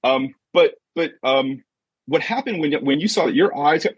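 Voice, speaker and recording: A husky-voiced man giving an emphatic, conversational motivational talk as a coach and podcast guest, often checking in with short rhetorical questions. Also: fairly high pitch, expressive, moderate pace, dry recording. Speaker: podcast guest, sports mindset coach and author. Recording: dull, muffled lo-fi audio.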